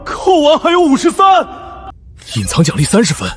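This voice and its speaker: A man playing a brash, swaggering fighter who talks coarsely and cockily in an animated drama. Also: very high voice, normal speed, raised voice, exaggerated voice. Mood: agitated, boastful. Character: brash, swaggering, foul-mouthed male fighter.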